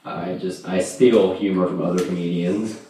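A young man doing a casual amateur stand-up routine in a halting, rambling, self-deprecating and profane way. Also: deep voice, steady tone, mellow, slight background noise. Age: young adult. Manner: profane, vulgar. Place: indoors, small home room. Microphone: distant camera, handheld microphone in view. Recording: echoey room, far from camera.

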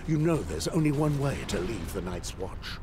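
A man acting a grim, dutiful middle-aged ranger in a video game cutscene, stern, resolute and gravelly. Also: medium pitch, very animated, normal speed, background noise, natural voice.

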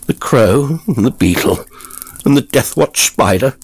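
A man's voice: mid-range voice, expressive, unhurried, a little hiss or hum, pitched above the performer's own voice. A man voicing a cruel, gleeful, debauched nobleman in a horror story, with mocking, flamboyant declamation. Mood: scornful laughing.

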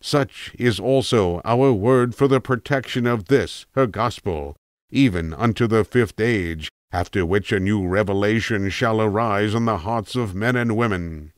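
A man reading scripted audiobook narration in a measured, literary storytelling manner. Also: fairly low pitch, dry recording, studio quality. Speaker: narrator, voice actor.

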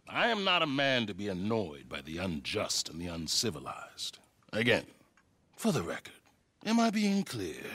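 An actor playing a stern, self-righteous frontier sheriff who gives slow, measured threats with sudden swells. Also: male voice, medium pitch, bright, forceful tone, exaggerated voice. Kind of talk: video game cutscene acting.

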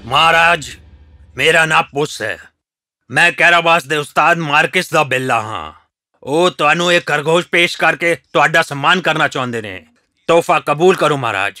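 A man's voice: on the high side, moderate pace, bright, forceful tone, dry recording, clean studio sound, soft background music. A man voicing a clever, polite and self-assured talking cat in boots for a children's fairy tale cartoon. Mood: courtly. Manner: polite, self-assured, addresses others as master.

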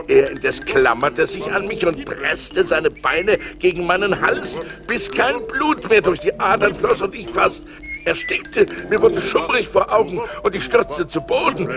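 Gravelly low seafarer telling his adventures, old vinyl radio play, dramatic, music underneath. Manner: theatrical, swinging from hushed to urgent. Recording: vinyl record transfer with music behind.

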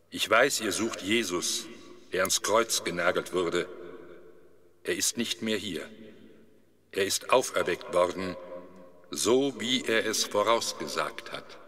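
Angel for a radio play, low and forceful, proclaiming solemnly. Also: male voice, exaggerated voice. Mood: solemn, reassuring. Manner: measured, proclaiming. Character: solemn angel of the Lord, male, reassuring. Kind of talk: German religious audio drama. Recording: long reverberant hall effect.

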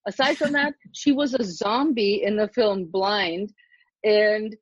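A woman's voice: mid-range voice, slow, no echo, dull audio. A middle-aged woman from Germany hosting an interview podcast, gushing with enthusiasm about her guest. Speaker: podcast host.

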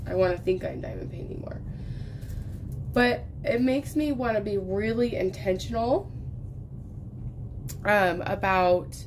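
A woman's voice: medium pitch, measured pace, long pauses, slight background noise. A woman in her thirties chatting while she works on a craft, rambling from thought to thought. She is getting over a lost voice. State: recovering from lost voice, coughing. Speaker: crafting vlogger. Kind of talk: casual chat while diamond painting. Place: indoors at a craft table.

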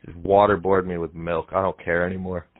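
A young man making a deadpan prank call in a casual, halting way, heard through muffled, narrow audio. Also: deep voice, slight background noise. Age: young adult. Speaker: prank caller, comedy show host. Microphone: headset microphone.

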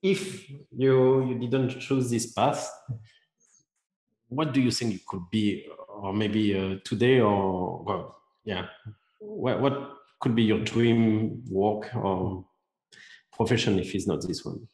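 A man's voice: on the low side, slow pace, a little mellow. A man in his forties hosting an interview, asking questions hesitantly with many fillers at a desk mic in a studio room. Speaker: interview host. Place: studio room with large plant and backdrop. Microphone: desk podcast mic. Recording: roomy echo.

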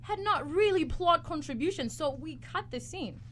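Woman playing a high-voiced, blustering space officer. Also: lively intonation, moderate pace, fairly bright tone, a little hiss or hum. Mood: flustered. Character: exasperated space crew officer, mock-heroic. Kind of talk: live student radio comedy acting. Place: college radio studio, live broadcast.

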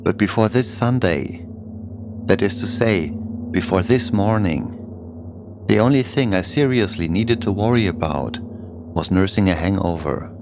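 A man's voice: sits low, lively intonation, measured pace, noisy background. A man narrating a scripted first-person horror story as a podcast host.

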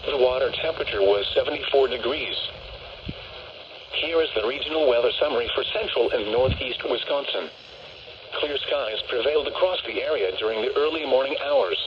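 A man announcing on weather radio, reading a scripted forecast in a stilted, measured, impersonal way through a small receiver speaker. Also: mid-range voice, expressive. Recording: dull, very noisy weather radio broadcast.

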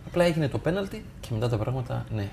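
A man's voice: medium pitch, very animated, creaky, a little mellow, slight background noise. A man talking as a football analyst, walking through match clips step by step and addressing viewers. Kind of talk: tactical match analysis over video.

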